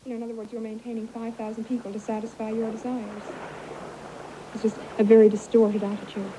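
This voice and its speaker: A woman's voice: fairly high pitch, monotone, a little fast, noisy background. A woman from Newfoundland speaking articulately and matter-of-factly in a reflective interview. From Newfoundland, Canada.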